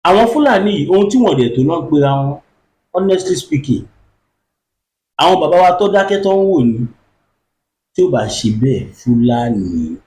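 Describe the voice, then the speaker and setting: Male host, fairly high and lively pitch, exclamatory and code-switching, echoey room. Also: brisk, long pauses, slight background noise. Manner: animated, exclamatory, switching between Yoruba and English. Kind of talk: online commentary show.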